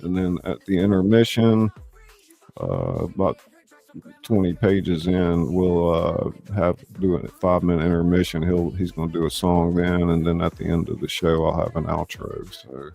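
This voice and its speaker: A man with a low, creaky voice hosts a live online show. He talks casually and haltingly as he works things out aloud, with faint music behind him. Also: dark tone. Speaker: host of a live online script-reading show. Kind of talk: casual organizing chat. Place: live streaming call.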